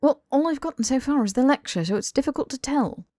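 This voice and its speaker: A woman's voice: sits high, lively intonation, fast talker, dark tone, no echo, clean studio sound, voice pushed up. A woman voicing a casual young man, wry and easily flustered, protesting informally in an audiobook. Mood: indignant. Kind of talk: audiobook character dialogue.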